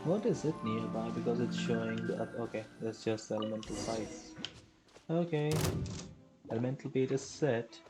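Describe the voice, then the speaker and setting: A woman's voice: rumbling low, moderate pace, over loud music. A woman streaming a game live, chatting and thinking aloud with fillers as she plays. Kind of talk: live gameplay commentary.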